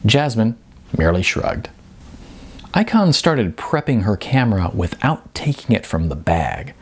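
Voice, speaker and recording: A man reading a novel as its author, in expressive audiobook narration with deliberate, emphatic phrasing. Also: fairly low pitch, breathy edge.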